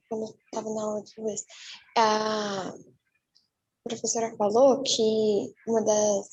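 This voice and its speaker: A Brazilian female student tells a small story in halting starts and stops. Her voice is very husky and sits a little high. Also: dark tone, rough recording. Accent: Brazilian Portuguese.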